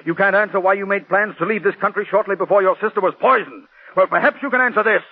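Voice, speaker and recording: An actor playing a bitter, relentless district attorney in a 1940s radio drama, speaking in a formal, accusatory manner. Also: male voice, high-pitched, fast, slightly rough. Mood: accusing, pressing. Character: relentless, bitter male district attorney cross-examining in court. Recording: muffled 1940s radio broadcast recording.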